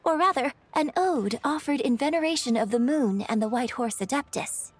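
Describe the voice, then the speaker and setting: An actress playing a polite, gentle young lady in a video game, courteous and patient as she explains things. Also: high voice, animated, normal speed, breathy edge. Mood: patient, explaining. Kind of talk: English video game voice acting. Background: game music.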